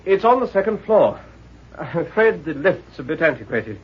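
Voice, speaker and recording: Proper, uneasy radio-drama hotel manager; raspy, polite, on a muffled old recording. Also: male voice, a little high, sing-song, normal speed, exaggerated voice. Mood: businesslike. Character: anxious, officious, polite male hotel manager. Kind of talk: old-time radio mystery acting. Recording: dull vintage radio recording.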